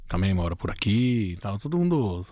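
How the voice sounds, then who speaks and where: A man in his fifties with an Italian accent tells long stories in an interview. His pitch swings up and down with lots of life, and he acts out the voices he quotes. The sound is close and dry, picked up on a desk mic in a small studio room. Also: fairly quick, dry recording. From Italy. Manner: animated, gestures widely, acts out quoted voices. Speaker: guest. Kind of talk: storytelling interview. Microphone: desk microphone on stand.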